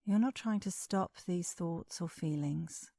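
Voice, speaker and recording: A woman leading a guided meditation as an instructor, speaking in a calm, soothing, measured way with long pauses. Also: lowish voice, creaky, dry recording.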